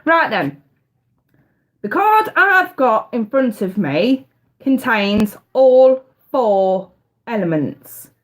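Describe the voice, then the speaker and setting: A woman's voice: fairly high pitch, big pitch swings, extremely slow, pauses often. A woman, casually hosting a live tarot stream, chatty and talking straight to her viewers, her voice bunged up with congestion. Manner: chatty, addresses viewers directly.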